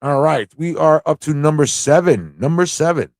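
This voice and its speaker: A man's voice: on the high side, animated, normal speed, a little mellow, dry recording, studio quality. A man hosting a casual live-stream trivia show, chatty and playful, often thinking aloud to his viewers. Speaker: host of a live pop culture show.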